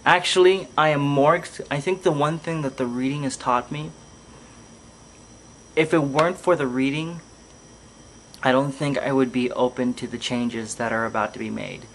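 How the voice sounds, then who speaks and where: A man's voice: mid-range voice, fairly even intonation, normal speed, a little hiss or hum, poor audio. A man talking casually and reflectively in a podcast as a filmmaker, hesitating as he thinks aloud. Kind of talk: casual reflective vlog monologue.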